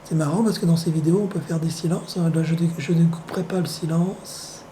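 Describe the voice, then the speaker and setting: A hoarse man with the flu, speaking at home in a rambling, sardonic monologue full of hesitations. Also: a little high, fairly even intonation, fairly quick, dark tone, slight background noise, poor audio. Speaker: vlogger.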